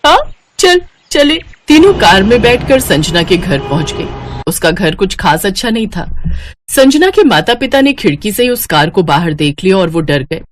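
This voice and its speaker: A woman's voice: medium pitch, big pitch swings, moderate pace, a little hiss or hum. A woman reading scripted narration for a moral story as its narrator.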